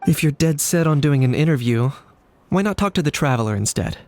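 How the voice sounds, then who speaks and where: An actor playing a pragmatic, unbothered young man in a video game, speaking in a measured, matter-of-fact deadpan. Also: on the high side, slight background noise, lightly acted. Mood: politely reluctant. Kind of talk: English video game dub acting.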